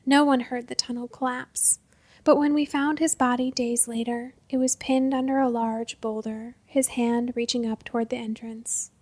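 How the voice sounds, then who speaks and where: A woman with a fairly high voice reads scripted literary fiction as a narrator. Her delivery is measured and expressive, in the manner of a storyteller.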